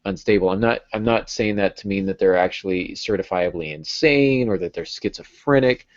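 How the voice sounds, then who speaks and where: A man's voice: deep voice, moderate pace. A man in his thirties hosting a casual podcast discussion about writing, recorded over a video call at home. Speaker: podcast host. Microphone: earbud headset. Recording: dull, lo-fi video-call audio.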